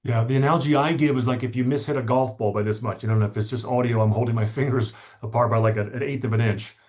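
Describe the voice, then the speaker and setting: A man's voice: mid-range voice, fairly even intonation, fast talker, a little mellow. A middle-aged man speaking as an interview guest, explaining his story articulately and stressing points by repetition. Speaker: podcast guest, author.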